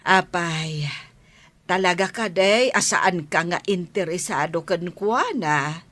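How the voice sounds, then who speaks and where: A woman's voice: fairly low pitch, slow, a bit bright, dry recording. An actress with an Ilocano accent playing a concerned, questioning older mother in a radio drama.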